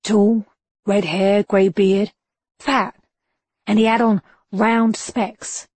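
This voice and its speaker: A woman's voice: mid-range voice, level tone, takes it slowly, darkish tone, no room sound. A woman voicing Billy the Bolter, a shifty male Victorian street informant with a slangy voice, in an audiobook. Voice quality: rough slangy street talk. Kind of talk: audiobook character voice.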